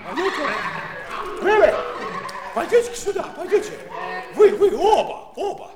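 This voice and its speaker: An actor playing a blustery, bossy seal with a fussy manner in a children's audio play. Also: male voice, very high voice, very animated, a little slow, crowd noise, full character voice. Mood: bossy, scolding. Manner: blustering, fussy, stammering when flustered. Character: blustery bossy seal, turning sheepishly polite. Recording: noisy vintage studio recording.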